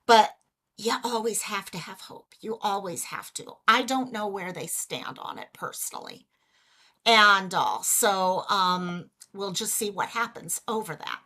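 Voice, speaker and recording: Woman doing a chatty livestream, bright voice, bit hoarse, says hi to viewers. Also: medium pitch, unhurried. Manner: chatty, greets viewers by name. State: losing her voice. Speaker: livestream host. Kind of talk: casual livestream chat.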